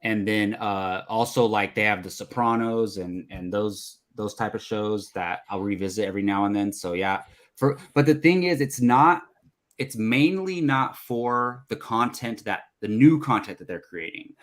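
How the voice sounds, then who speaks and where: Guy with a lowish voice just chatting on a movie panel, nothing fancy. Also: normal speed. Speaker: panelist on a movie talk show. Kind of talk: casual chat.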